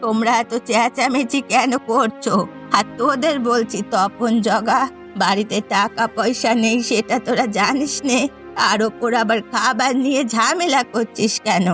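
A woman's voice: fairly high pitch, moderate pace, a bit bright, faint music behind, lightly acted. A woman voicing an exasperated, scolding grandmother in a cartoon family drama.

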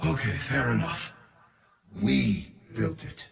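Gravelly, theatrical eccentric scientist. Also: male voice, mid-range voice, slow, very noisy. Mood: weary, wry. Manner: theatrical, chuckling, wry, addresses players as friends. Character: eccentric middle-aged male scientist, jovially urgent, darkly wry. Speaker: video game character giving mission orders. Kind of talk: video game voice acting.

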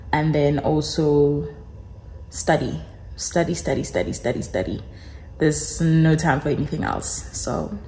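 Slow-paced young woman vlogging over background noise. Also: sits low, darkish tone, poor audio. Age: young adult. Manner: informal, rambling, repeats phrases for emphasis. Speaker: student vlogger. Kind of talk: casual vlog chat.

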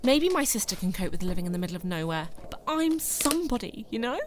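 Woman as fast, haughty diva. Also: medium pitch, sing-song, noisy background, lightly acted. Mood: indignant, hurried. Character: haughty, frantic self-important actress.

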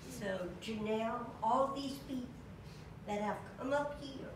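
An older woman giving a memorial tribute to a classmate from a church pulpit, storytelling with chuckles. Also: a little high. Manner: slow, warm storytelling with chuckles. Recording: echoey church, distant mic, room noise.

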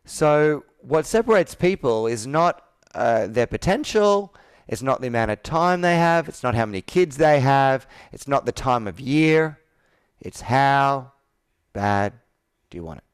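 Male sales coach, 30s, smooth voice, dry close sound, pushes with probing questions. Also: mid-range voice, lively intonation, measured pace, no room sound. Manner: assertive, probing, rhetorical questions. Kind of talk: persuasive coaching on a sales call. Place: indoor office with a flip chart, on a video call.

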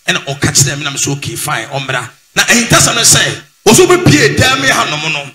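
A man hosts from a small studio in headphones, commenting in Twi and English. His voice is high and bright. The room is echoey, and music plays underneath. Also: big pitch swings, normal speed. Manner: addresses viewers as guys, hums along in agreement. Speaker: show host commenting on a church video. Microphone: studio mic with headphones.

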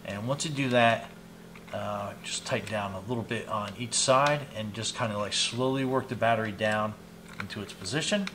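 A man in his fifties giving a casual, unhurried product demonstration, explaining each step informally as he goes. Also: on the low side. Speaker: product reviewer demonstrating gadgets on his channel. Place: small indoor hobby room at a desk. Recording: clear background noise, clicks of handled items.

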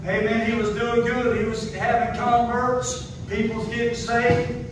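A man's voice: very high-pitched, completely flat delivery, a little slow. A middle-aged man preaching a revival sermon in a small church, peppering every phrase with amen and hallelujah and rising into a sung chant. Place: small wood-paneled church, indoors. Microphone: far phone camera in the pews. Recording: echoey room from far off, noisy.

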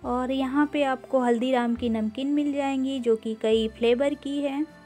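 A woman giving an unhurried, casual walkthrough of a grocery store, filming on a handheld phone and mixing English words into her talk with frequent "uh" fillers. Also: high-pitched, fairly even intonation, darkish tone, faint music behind. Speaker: vlogger touring a grocery store.

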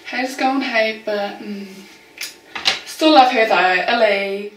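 A woman's voice: on the high side, takes it slowly, distant mic, background noise. A woman acting a vain, jealous young New Zealand best friend in a comedy sketch, ditzy and self-absorbed, in an echoey room. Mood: smug, catty.

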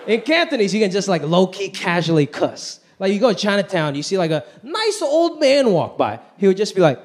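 A man in his thirties from Hong Kong performing a stand-up comedy set on a theater stage into a handheld mic, animated and swinging his pitch for punchlines. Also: fairly quick. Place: large theater stage with live audience. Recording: live show with faint crowd and room noise.

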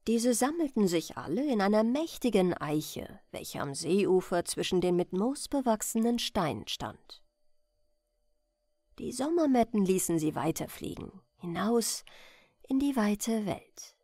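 A woman reading a bedtime fairy tale as scripted audiobook narration, in an expressive, deliberate storytelling manner. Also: mid-range voice, dry recording, clean studio sound. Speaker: audiobook reader.

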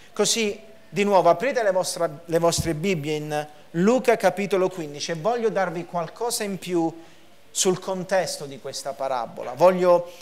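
A man in his thirties preaching a sermon from a lectern in a church hall, emphatic and repetitive, on a small clip-on mic. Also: sits high. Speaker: pastor. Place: church hall, in front of a projection screen.

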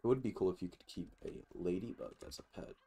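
A man with a low voice hosts a casual gaming video. He thinks out loud as he plays, rambling loosely and dropping swear words as he goes. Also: quick pace, a little hiss or hum. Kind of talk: casual let's play commentary.

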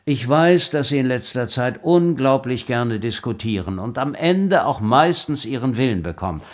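An actor playing a firm, formal police chief in a German audio drama, brusque and authoritative. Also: male voice, mid-range voice, animated, normal speed, close to an everyday voice. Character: gruff authoritative male police chief, secretly fair.

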